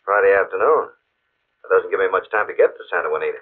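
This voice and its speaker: A man's voice: low voice, quick pace. A man playing a demanding, curt gangster-like blackmailer over a telephone in a 1950s radio drama. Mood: brusque, calculating. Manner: curt, domineering. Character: demanding, brusque male blackmailer and racetrack gambler. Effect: telephone filter. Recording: tinny phone line on old radio.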